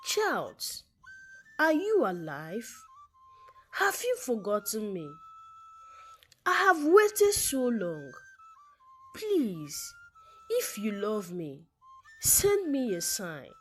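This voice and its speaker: A woman's voice: medium pitch, wildly swooping pitch, very slow, pauses often, faint music behind, full character voice. A woman voicing a devoted, stubbornly hopeful village woman in love, earnest and pleading.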